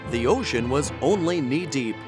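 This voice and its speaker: An actor playing an earnest, polite traveler who tells his adventure in an expressive children's story style. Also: mid-range voice, normal speed, close to an everyday voice. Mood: earnest storytelling. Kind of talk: scripted children's story narration in character. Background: music.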